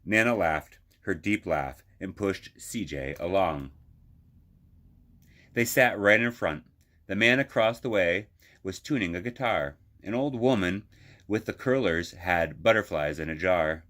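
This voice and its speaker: Man, deep voice, expressive picture-book narration, measured, lingering on phrases. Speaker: children's librarian reading aloud.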